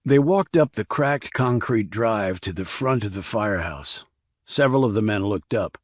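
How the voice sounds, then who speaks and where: A man's voice: fairly low pitch, expressive, normal speed, no room sound, clean studio sound. A man reading scripted crime thriller narration as an audiobook narrator.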